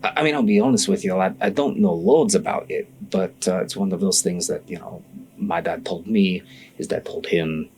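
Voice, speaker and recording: A man voicing a hesitant, secretive scholar in a tabletop game, halting and hedging with a folksy manner. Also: low-pitched, very animated, vocal fry, a little mellow, exaggerated voice. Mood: confiding, careful. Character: hesitant, secretive male scholar keeping family work.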